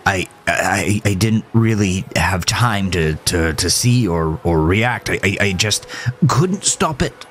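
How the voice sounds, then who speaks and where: A man playing an uneasy, confused injured man who speaks haltingly in a horror audiodrama. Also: deep voice, lively intonation, fairly bright tone, slight background noise, heavily acted. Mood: flustered. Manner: hesitant, halting. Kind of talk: horror audiodrama character acting.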